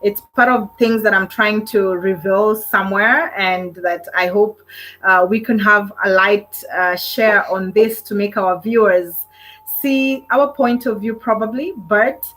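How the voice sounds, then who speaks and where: A woman's voice: medium pitch, unhurried, a bit bright, poor audio. A middle-aged woman hosting a live online relationship panel, chatting casually and effusively as she welcomes and thanks people. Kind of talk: casual live-stream chat.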